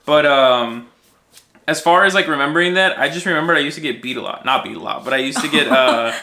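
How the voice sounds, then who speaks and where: A man's voice: fairly high pitch, fast talker, bright, forceful tone. A young American man telling personal stories in a casual, rambling, self-deprecating way on a podcast. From United States, raised in Minnesota. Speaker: podcast guest and co-host sharing his story. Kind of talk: casual conversational storytelling.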